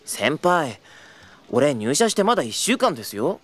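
A man's voice: on the high side, very animated, measured pace, a bit bright, exaggerated voice. A young man in his twenties voicing an earnest new trainee who narrates and answers politely, a little flustered, in an audio drama. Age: 20s. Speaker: trainee tour guide, narrator and lead.

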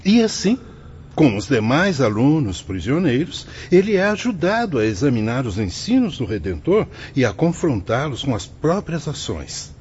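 Solemn Brazilian actor as a priest guide, swooping intonation. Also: male voice, medium pitch, very animated, darkish tone, lightly acted. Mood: earnest, preaching. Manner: solemn, didactic, measured formal speech. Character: benevolent priest guide in a spirit-world reformatory. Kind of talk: Brazilian radio drama acting. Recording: dull lo-fi audio with faint noise.

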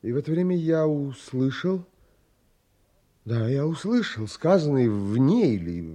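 A pensive, dreamy gentleman narrating a literary audio play. His voice is mellow and unhurried, with expressive swings in pitch and a measured, unsettled air. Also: exaggerated voice. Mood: troubled, puzzled. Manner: measured, deliberate, dramatic phrasing. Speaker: narrator and lead actor.

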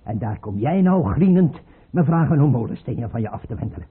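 An actor playing a paranoid, scornful tsar in a radio play, imperious and abrupt, with a husky, rough voice. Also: male voice, mid-range voice, animated, brisk. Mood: scornful, menacing. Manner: imperious, abrupt, mocking, swinging from mutter to outburst. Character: paranoid tyrant tsar, erratic, scornful, suspicious father. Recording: muffled, tinny old radio play recording.

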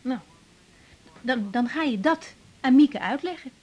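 An actress playing a young woman doctor in a radio drama, firm and quick to take charge. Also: high-pitched, expressive, darkish tone. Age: young adult. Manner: firm, brisk, takes charge. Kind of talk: 1980s radio drama acting.

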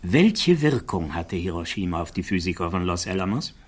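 A lawyer questioning someone in a radio play, lowish voice, very up and down, formal and exact. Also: male voice, very animated, moderate pace, breathy edge, exaggerated voice. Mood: pointed, inquiring. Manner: precise, formal, inquiring. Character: probing male defense attorney questioning a witness. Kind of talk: German radio play acting, courtroom-style questioning.

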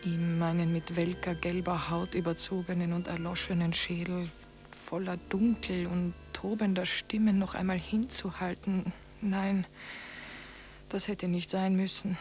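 An actress playing a withered, deranged wife in a radio drama, speaking a haunted monologue in measured, sometimes hushed phrases. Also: female voice, on the low side, little pitch movement, faint music behind, lightly acted. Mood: haunted, resigned.

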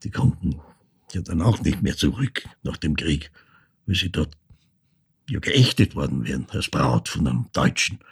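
An older actor with an Austrian accent playing a reflective ageing man who recounts his wartime childhood in a halting, hushed literary monologue. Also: extremely low pitch, very animated, exaggerated voice. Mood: sober recollection. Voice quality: gravelly, husky. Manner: halting, deliberate, hushed, broken phrasing. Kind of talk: literary monologue, dramatic storytelling.

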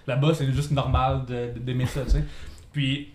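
A man's voice: mid-range voice, level tone, moderate pace, a little mellow, echoey room, slight background noise. A man with a Québécois accent chatting casually on a podcast, rambling with lots of restarts. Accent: Québécois French. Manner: rambling, many restarts and fillers. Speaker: podcast co-host. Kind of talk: casual chat.

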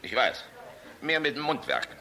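An actor in a German radio play voicing a jovial, irreverent, sharp-tongued middle-aged air force general. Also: male voice, mid-range voice, wildly swooping pitch, normal speed, creaky, exaggerated voice. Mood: sardonic. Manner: jovial, sardonic, blunt.

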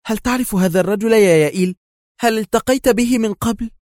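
A man's voice: high voice, fast talker, darkish tone, dry recording, studio quality, voice pushed up, lightly acted. A man voicing an anxious, agitated woman in a dramatised story, tense and fretful. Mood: tense surprise. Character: anxious, agitated woman fearing for her life.

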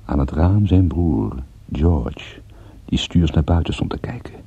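An actor playing a wry, groggy captive private detective in a Dutch radio drama, with dry, sardonic understatement. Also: male voice, rumbling low, lively intonation, a little slow, gravelly, warm tone, slightly rough, lo-fi sound, exaggerated voice. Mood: wry, weary. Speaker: narrator and lead character. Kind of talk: Dutch radio drama acting, first-person detective narration.

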